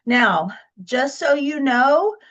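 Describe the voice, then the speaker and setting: A woman's voice: high voice, lively intonation, slow, fairly bright tone, highs cut off. A woman who is a professional organizer, hosting a casual solo podcast while congested with a cold, chatty with fillers and restarts.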